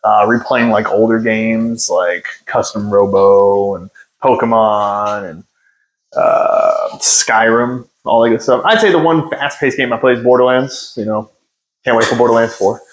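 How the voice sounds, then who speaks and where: A man's voice: lowish voice, steady tone, a little slow, rough recording. Rambling, joking young man. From United States. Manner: rambling, self-deprecating, many fillers. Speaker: podcast host. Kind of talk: unscripted casual monologue. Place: home. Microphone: phone on a stand.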